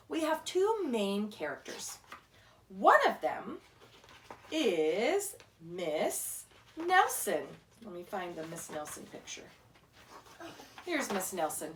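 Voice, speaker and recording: Female children's read-aloud teacher: unhurried, very animated pitch, instructive, recorded away from the mic. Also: far from the mic. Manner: expressive, instructive. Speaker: story reader, teacher.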